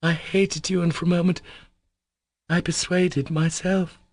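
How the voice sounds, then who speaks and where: A man voicing a proud, guilt-torn young woman in an audiobook, speaking in a raised, halting, fervent voice. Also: sits high, little pitch movement, a little mellow, no room sound, clean studio sound, voice pushed up. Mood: anguished. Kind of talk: audiobook character voice.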